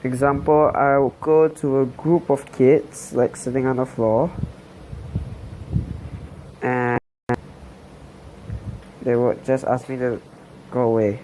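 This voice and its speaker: A man's voice: mid-range voice, flat delivery, slow pace, pauses often, dark tone, slight background noise, poor audio. A young man in his late teens from Singapore, telling his life story in a hesitant voice-over full of fillers and restarts. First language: Chinese. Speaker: channel host.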